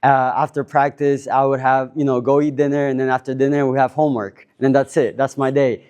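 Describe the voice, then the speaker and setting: A man in his twenties from Cuba, introducing himself on a panel in a relaxed, rambling way with many fillers and restarts. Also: mid-range voice, a little fast, smooth. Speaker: panel speaker. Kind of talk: casual self-introduction at a panel.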